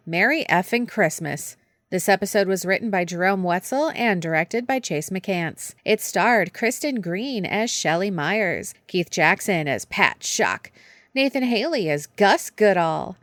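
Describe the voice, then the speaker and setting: A woman's voice: mid-range voice, no echo. Female credits announcer, dry close sound. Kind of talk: scripted announcement.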